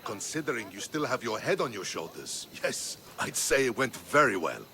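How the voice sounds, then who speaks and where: A loyal spartan officer in a game, earnest, respectful, expressive bright voice, bit of background noise. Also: male voice, medium pitch, moderate pace. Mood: steady. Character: loyal, diplomatic Spartan soldier, earnest and steady. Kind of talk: video game cutscene acting.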